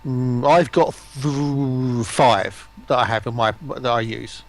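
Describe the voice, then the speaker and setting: Man, medium pitch, casual chat. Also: steady tone, slow pace. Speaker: stream panelist.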